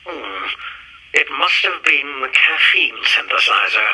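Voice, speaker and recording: A man's voice: mid-range voice, lively intonation, measured pace, pushed and bright, husky, exaggerated voice, poor audio. A man playing a bombastic, bumbling robot general in a retro sci-fi radio serial. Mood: befuddled. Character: blustering, muddled, bloodthirsty robot general.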